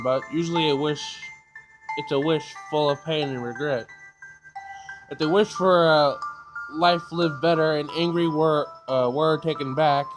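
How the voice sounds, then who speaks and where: A man's voice: fairly high pitch, rough recording. A man hosting a casual gaming video, reading game text aloud informally and stumbling over words, with game music behind him.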